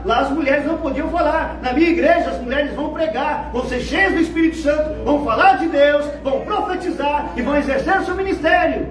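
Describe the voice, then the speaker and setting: Brazilian pastor preaching hard, voice way up high, far from the mic in a roomy church. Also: male voice. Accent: Brazilian Portuguese. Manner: fervent preaching, swinging between low murmurs and shouts. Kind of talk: sermon. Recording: echoey, distant, noisy church hall.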